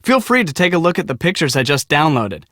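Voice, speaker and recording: A man's voice: on the high side, sing-song, fast, fairly bright tone, no echo, studio quality. An actor playing an easygoing young male storm-chasing photographer in a video game, breezy and boyish. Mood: cheerful, easygoing. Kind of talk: video game voice acting, casual.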